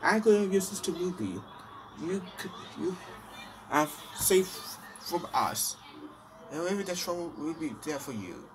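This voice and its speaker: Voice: mid-range voice, animated, measured pace, noisy background, voice pushed up, natural voice. A homemade fan video performer voicing a bossy, protective young girl rabbit with a squealing, scolding voice. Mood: comforting.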